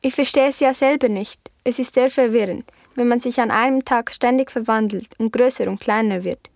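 A woman's voice: on the high side, fairly quick, gravelly, darkish tone, no room sound. A woman playing a confused, apprehensive girl, speaking hesitantly and earnestly in a literary audio drama.

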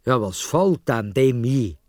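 A man's voice: mid-range voice, lively intonation, slow, darkish tone, dry recording, clean studio sound. A man with a Swiss German accent voicing an indignant, scolding gardener in a children's story. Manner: scolding, threatening.

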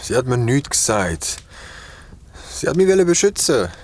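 An actor playing a guilt-ridden young man recounting a horror story in a radio play, with a Swiss German accent and halting delivery. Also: medium pitch, big pitch swings, darkish tone, close to an everyday voice. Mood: haunted narrating.

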